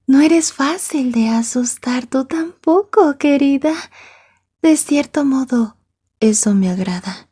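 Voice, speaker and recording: A woman's voice: sits high, slow, dark tone, slightly rough, dry recording, studio quality, full character voice. A woman playing an obsessive, sweetly menacing yandere schoolgirl in an ASMR roleplay, murmuring with mock-polite menace. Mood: taunting.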